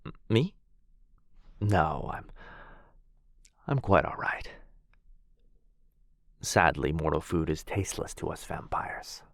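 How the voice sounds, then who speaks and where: A man voicing a gentle, courtly male vampire lord in an ASMR boyfriend roleplay. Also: a bass voice, expressive, slow pace, creaky, pauses often, dry recording, clean studio sound, exaggerated voice. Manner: soft-spoken, courtly and reassuring. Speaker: voice actor.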